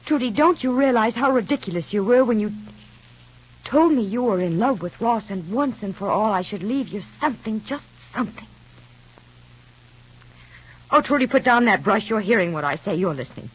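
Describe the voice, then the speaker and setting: Exasperated, husky American mother, middle-aged, 1950s radio serial, muffled archive sound. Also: mid-range voice, animated, moderate pace. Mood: urgent, exasperated. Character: insistent, exasperated mother figure lecturing a younger woman. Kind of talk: 1950s radio soap acting. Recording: dull vintage radio recording.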